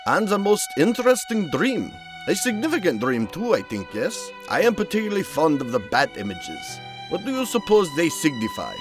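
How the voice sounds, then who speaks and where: A man voicing a genteel older psychoanalyst in a motion comic, courteous and probing, with measured, formal, musing phrasing. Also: fairly high pitch, wildly swooping pitch, over music, pitched above the performer's own voice. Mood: intrigued, probing. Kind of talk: dramatic motion-comic voice acting.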